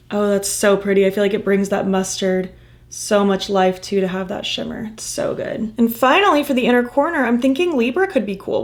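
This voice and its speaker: A woman chatting casually through a makeup tutorial, gushing about the colors and murmuring softly while she applies them, her voice a little croaky from losing it. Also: medium pitch. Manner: gushing, enthusiastic, soft murmurs while applying. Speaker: makeup vlogger.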